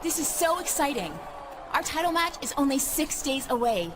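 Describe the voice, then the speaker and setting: A flirty, scheming wrestler. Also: female voice, sits high, sing-song, moderate pace, background noise.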